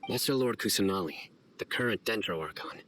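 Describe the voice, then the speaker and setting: A man playing a stern, guarded young investigator in a video game, speaking in a formal, measured and deliberate way. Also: on the low side, lively intonation, fairly bright tone, slight background noise. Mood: skeptical, measured. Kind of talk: scripted video game dialogue.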